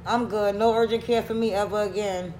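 A woman's voice: mid-range voice, fairly even intonation, brisk, a little mellow, echoey room, slight background noise, low-quality recording. A woman co-hosting a podcast, speaking bluntly and swearing freely in casual chat. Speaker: podcast co-host.

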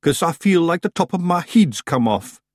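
Tiny Scottish fairy rogue done by a male narrator: rough, cheeky, pitched up. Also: big pitch swings, normal speed, no echo, studio quality.

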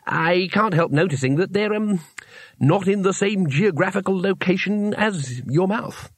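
A man's voice: high voice, expressive, measured pace, no echo, pitched above the performer's own voice, full character voice. A man voicing a naive, chatty male tourist in a storybook, polite and earnest with a hesitant way of explaining. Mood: awkward, hesitant.

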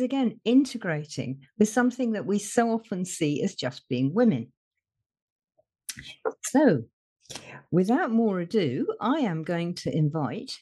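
A woman hosts a podcast and introduces her guest with effusive enthusiasm. Her pitch moves a lot, rising and falling as she speaks. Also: warm tone, slightly rough. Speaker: podcast host. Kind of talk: interview introduction.

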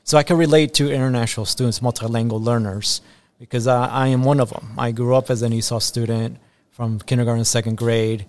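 A man's voice: medium pitch, fairly even intonation, fairly quick, darkish tone, no room sound. A man from Ecuador, an assistant principal in his thirties, answering school podcast questions earnestly with frequent ums and repeated phrases.

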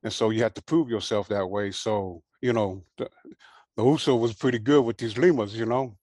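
A man in his sixties from Hawaii, raised in Southern California, telling his life story as a guest in a relaxed way, full of fillers. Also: on the low side, dry recording, clean studio sound. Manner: relaxed, unhurried, many fillers and "you know". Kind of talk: casual interview storytelling.

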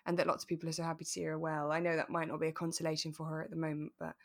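A British woman co-hosting a podcast in casual chat, with a playful, expressive way of retelling things. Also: low voice, very fast. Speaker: podcast co-host. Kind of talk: casual chat discussing a radio drama.

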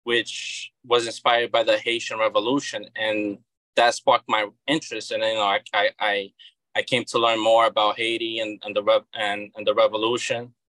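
A man's voice: on the low side, level tone, normal speed, a bit bright, no room sound, rough recording. A man in his thirties from Brazil with a Brazilian Portuguese accent, a guest talking casually in an interview and often repeating words as he restarts. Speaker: guest, comic book creator.

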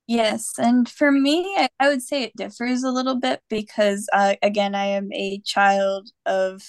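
A woman's voice: mid-range voice, flat delivery, unhurried, dry recording, studio quality. A woman from Pennsylvania, a podcast guest, speaking in a thoughtful, earnest way with many fillers. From Pennsylvania, USA. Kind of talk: casual podcast chat.

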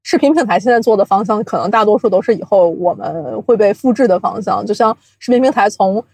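A woman's voice: medium pitch, brisk, darkish tone, breathy edge, no echo. A woman speaking casually as an interview guest, thinking aloud and explaining how her industry works. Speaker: guest, audio drama producer and company chief. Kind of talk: casual interview talk about the audio drama industry.

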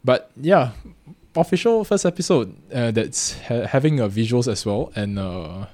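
A man's voice: mid-range voice, lively intonation, a little slow, a little mellow. A man with a Singaporean accent co-hosting a casual podcast, rambling and often correcting himself as he goes. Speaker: podcast co-host.

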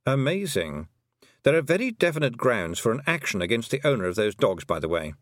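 A man voicing a detached, pedantic talking toad with a deadpan manner in an audiobook. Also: medium pitch, brisk, no room sound, clean studio sound, natural voice.

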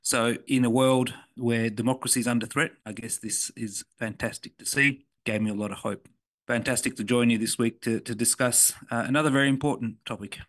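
A man speaking in an earnest, measured way as a podcast co-host in a conversational discussion. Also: mid-range voice, fairly even intonation, a bit bright, no echo.

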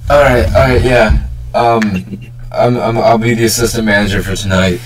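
A man's voice: lowish voice, fairly even intonation, normal speed, poor audio. A young man hosting a casual, joking group podcast chat with plenty of banter. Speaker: podcast host. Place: remote group call.

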